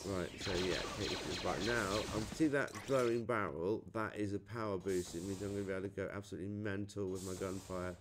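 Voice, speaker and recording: A man's voice: sits low, brisk, few pauses, background noise. A man with a British accent giving a casual, chatty game walkthrough, thinking aloud as he plays. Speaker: gamer recording a playthrough.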